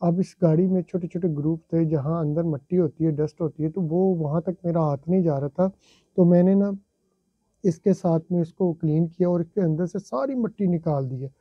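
Middle-aged man, vlogger, husky voice, fairly high, chatty step-by-step explaining, dry home garage, muffled phone audio. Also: a little fast, dry recording. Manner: chatty, explaining step by step, addressing viewers politely. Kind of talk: casual how-to narration.

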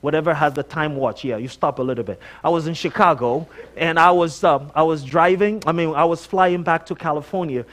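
Male guest preacher, Nigerian accent, high-pitched voice, conversational sermon style with animated, emphatic storytelling. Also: a little fast, few pauses. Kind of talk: conversational sermon with personal anecdotes. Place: church sanctuary, on stage before a congregation.

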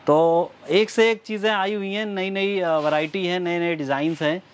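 A middle-aged shop owner showing sarees in his store, with a salesman's pitch, recorded on a lapel mic. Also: steady tone, moderate pace. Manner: salesman's pitch, addresses viewers as friends. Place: large saree store indoors.

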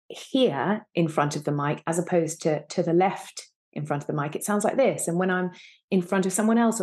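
A woman's voice: fairly low pitch, a little mellow. A woman in her thirties talking reflectively and earnestly as a guest actor in an interview on a video call. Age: 30s. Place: indoor room on a video call.

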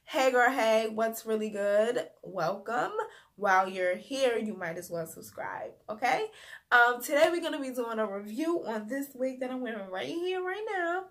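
A young woman with a cold, her voice throaty and sniffly, chatting casually to camera in a small room as she reviews a wig. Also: on the high side. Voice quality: sniffly, husky from a sore throat. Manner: chatty and relaxed, drawn-out words, many "um"s. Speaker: beauty vlogger.

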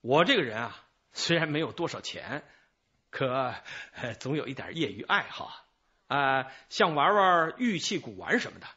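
An actor playing a chatty, evasive middle-aged informant in a radio drama, rambling, sighing and excusing himself. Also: male voice, medium pitch, very animated, normal speed, pushed and bright, husky, muffled recording, heavily acted. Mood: sheepish, self-excusing. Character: garrulous, evasive male small-time informant, self-excusing.

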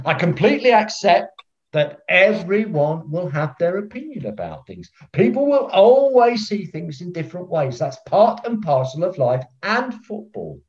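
A pompous, eccentric older football referee in a comedy. His delivery is theatrical and self-important, with a very animated pitch. The sound is dull and lo-fi, like a video call. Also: normal speed. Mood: grandly self-important. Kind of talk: filmed comedy acting. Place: room at home, on a video call.

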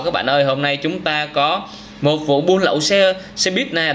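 A man's voice: on the high side, fairly bright tone. A man in his thirties giving exclamatory, simple storytelling commentary on game footage, as if for children. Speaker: host of gaming reaction videos for children. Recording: noisy, car engine sounds behind.

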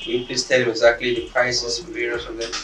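A man's voice: mid-range voice, monotone, quick pace, distant mic, over music, rough recording. A man, the interviewer, putting simple direct questions to a spa worker. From Cameroon.

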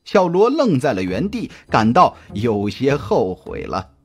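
A man reading a scripted suspense audiobook in a dramatic, deliberate, expressive storytelling manner. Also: mid-range voice, breathy edge. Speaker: audiobook narrator. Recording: music under the voice. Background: background music.

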